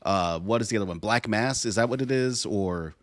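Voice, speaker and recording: A man co-hosting a podcast, talking casually and haltingly as he searches for words and repeats himself. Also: on the low side. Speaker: podcast co-host. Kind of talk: casual podcast discussion about movies.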